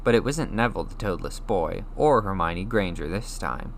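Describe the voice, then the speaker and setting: Low-voiced man reading a story expressively. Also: expressive, normal speed, vocal fry. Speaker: audiobook reader. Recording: faint noise and hum.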